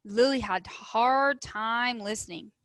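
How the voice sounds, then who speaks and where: Woman, primary school teacher type, for expressive read-aloud; bright, forward voice, slow emphatic delivery. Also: a little high, no room sound, studio quality. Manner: emphatic, instructive, addressing children. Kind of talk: expressive storybook read-aloud with questions to pupils.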